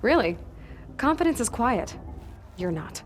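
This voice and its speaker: A woman's voice: on the high side, very animated, measured pace. A young woman acting a defiant, blunt outcast huntress in a video game cutscene, speaking in a pointed, self-assured way. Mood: defiant, cutting. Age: young adult.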